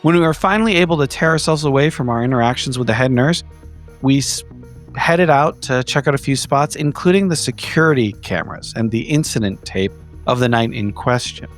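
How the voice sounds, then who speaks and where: A man narrates a horror roleplaying recap in a mid-pitched voice. His phrasing is measured, formal and wordy, like careful storytelling, with music playing underneath. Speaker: player in a tabletop horror roleplaying game. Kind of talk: in-character recap narration for an actual play. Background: background music.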